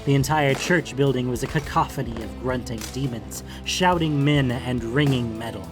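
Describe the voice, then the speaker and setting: A man's voice: medium pitch, moderate pace, over music. A man reading scripted, dramatic fantasy narration as an audiobook narrator.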